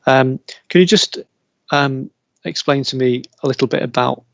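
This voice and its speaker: A man's voice: mid-range voice, normal speed, vocal fry, no echo, lo-fi sound. A man with a British accent chairing a formal planning hearing over a video call, hesitant, with many fillers and repeated words. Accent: British English.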